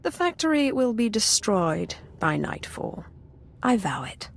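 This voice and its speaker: A woman's voice: medium pitch, sing-song, a little slow, gravelly, heavily acted. An actress playing a ruthless, cold Sith warrior woman in a video game, declaring threats with menace. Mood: gloating menace. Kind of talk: video game cutscene acting.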